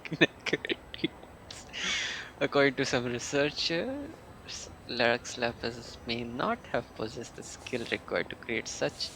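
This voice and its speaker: A man casually commentating on a video game live, thinking aloud in a halting way as he reads and reacts. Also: mid-range voice, slight background noise, rough recording. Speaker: game streamer. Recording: game music behind the voice. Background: loud game music.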